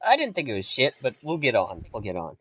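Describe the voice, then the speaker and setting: A teenage guy chatting casually about horror movies, laid-back and joking, often trailing off quietly. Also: mid-range voice, huge pitch swings, fast talker, dull audio, over a noisy crowd. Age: teens. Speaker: co-host. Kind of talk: casual movie review chat.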